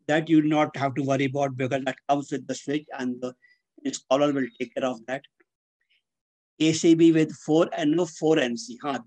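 An older man giving a webinar lecture as an instructor, speaking in a deliberate, didactic way and repeating key terms. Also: on the high side, a little mellow, poor audio. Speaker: engineering instructor. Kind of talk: webinar lecture explaining slides. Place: indoors, on a webcam video call.

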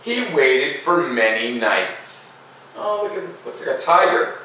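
A middle-aged man hosting a children's read-aloud, mixing expressive picture-book reading with informal, casual asides. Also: mid-range voice, takes it slowly. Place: living room by a fireplace, indoors. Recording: echoey room, distant mic, faint noise.

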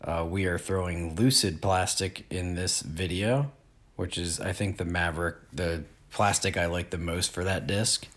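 Low-voiced man teaching outdoors. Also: unhurried. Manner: relaxed, explanatory, plain-spoken. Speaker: disc golf instructor. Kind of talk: outdoor instructional talk. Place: open field outdoors.

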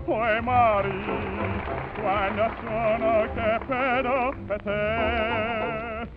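A man's voice: very high voice, level tone, drawn-out words, loud music behind the voice. A man singing a Neapolitan song in operatic style on 1930s radio. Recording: tinny, narrow-band 1930s radio. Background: musical accompaniment.

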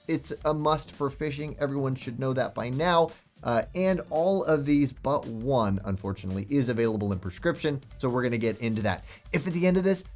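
A young man hosting a product review to camera, chatty and enthusiastic in a salesman way, with faint music behind him. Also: medium pitch. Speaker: host.

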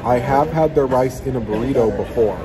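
A man's voice: mid-range voice, fairly quick, crowd noise, rough recording. A man in his thirties doing a casual food review vlog, chatting and humming between bites while he eats. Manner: chatty, eating between lines with satisfied hums. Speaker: food vlogger. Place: indoor restaurant dining room. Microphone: phone held at arm's length. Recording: phone audio with clear restaurant noise. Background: restaurant noise.

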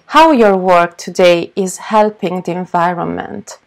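A young Italian woman asks her questions slowly and carefully in English, with a noticeable accent. Her voice sits a little low for a woman, and each word is spaced out. She speaks through a webcam on a video call. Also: creaky. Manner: slow, deliberate. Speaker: interviewer. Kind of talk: reading interview questions.

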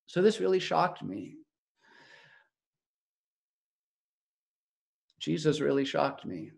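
A man acting an awestruck male disciple, telling the story in a reflective, wondering first-person monologue with emphatic pauses. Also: fairly high pitch, gravelly. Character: awestruck male disciple recounting his teacher. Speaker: actor in a biblical monologue.

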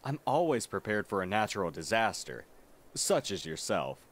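Man playing a theatrical, lively goth art student. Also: on the low side, normal speed. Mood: teasing, fussy. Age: young adult. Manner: arch, dramatic, mock-formal wording. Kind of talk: ASMR roleplay audio drama.

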